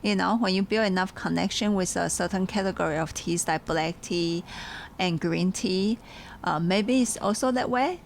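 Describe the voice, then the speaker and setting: A woman's voice: fairly low pitch, moderate pace, vocal fry, a little hiss or hum. A woman with a Taiwanese accent chatting casually and reflectively as a podcast host, searching for her words. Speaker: podcast host and tea seller.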